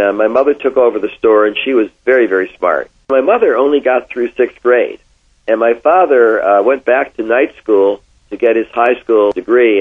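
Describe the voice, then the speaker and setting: An older man from Connecticut with an American accent, reminiscing in an interview and telling anecdotes with emphatic repetition. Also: lowish voice. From Connecticut, United States. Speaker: interviewee, former oil executive. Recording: thin, phone-like band-limited sound.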